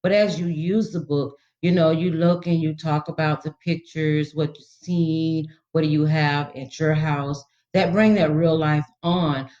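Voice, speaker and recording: A woman's voice: sits low, fairly even intonation, a little slow, hardly any pauses, dark tone. A woman guest educator giving informal, instructive tips to parents in reassuring, colloquial language. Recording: slightly dull, lo-fi audio.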